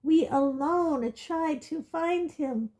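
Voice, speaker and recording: A woman voicing a fanatical woman prisoner making a slow, menacing declaration. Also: sits high, a little mellow, voice pushed up. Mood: defiant.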